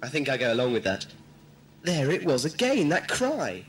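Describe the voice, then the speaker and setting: Wry British schoolboy, played by a man: reluctant, doubtful, politely sarcastic, animated intonation, audio drama. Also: medium pitch, normal speed, breathy edge. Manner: hesitant, dryly sarcastic. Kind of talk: amateur audio drama acting. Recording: music under dialogue, home-made cassette drama.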